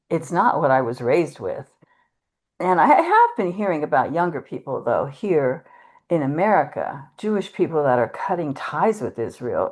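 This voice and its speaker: A woman plays a concerned, hesitant American churchgoer in a readers theater dialogue. The voice is mellow and slightly low, with very animated pitch. The delivery is tactful and questioning. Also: husky, exaggerated voice.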